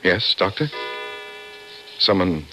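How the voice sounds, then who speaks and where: Low-voiced, troubled young man. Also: big pitch swings, slow pace, music in the background, full character voice. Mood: troubled, subdued. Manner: subdued, troubled, questioning. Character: distraught, guilt-ridden young man seeking help. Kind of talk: old-time radio drama acting. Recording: noisy vintage radio recording.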